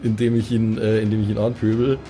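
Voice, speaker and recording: A man's voice: fairly low pitch, flat delivery, quick pace, mellow, soft background music. A man playing in a tabletop role-playing podcast, chatting casually and repeating words as he works out ideas. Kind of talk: casual improvised banter.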